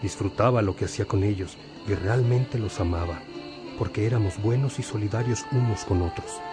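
A man's voice: on the low side, normal speed, over music, close to an everyday voice. An actor playing a wise, patient older priest who teaches his disciples reflectively in a religious radio drama. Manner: reflective, didactic.

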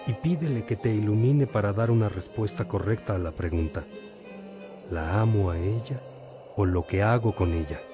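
An actor playing a calm, fatherly bishop who instructs a novice in a measured way in a religious radio drama. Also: fairly low pitch, music in the background, lightly acted. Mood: gentle, instructive.

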